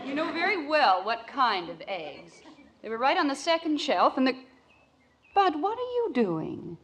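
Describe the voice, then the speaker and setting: A woman's voice: high voice, very animated, unhurried, a bit bright, exaggerated voice. An actress playing an exasperated, scolding housewife and mother in a 1950s radio sitcom. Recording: hissy, noisy vintage radio recording.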